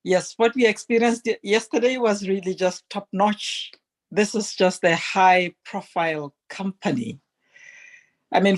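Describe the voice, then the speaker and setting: A woman's voice: medium pitch, a little mellow. A woman giving a heartfelt testimonial to an online meeting, speaking in an earnest, emphatic and fervent way.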